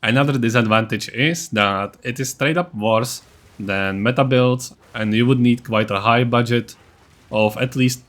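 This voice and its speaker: A man's voice: medium pitch, a little slow, a bit bright. Man narrating a gaming build guide. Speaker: gaming video creator.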